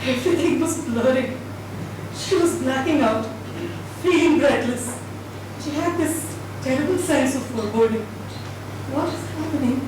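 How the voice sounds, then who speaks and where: High-voiced woman reading in an echoey hall. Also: moderate pace, long pauses. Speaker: reader at a dramatised book reading. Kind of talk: dramatised storybook narration. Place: indoor event hall at a live reading. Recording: distant mic, echoey hall, noisy.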